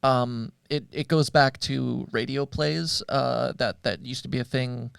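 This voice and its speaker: A man hosting a podcast in a casual, conversational way, with halting, hesitant phrasing. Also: mid-range voice, creaky, fairly bright tone, smooth, no echo, studio quality. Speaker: podcast host.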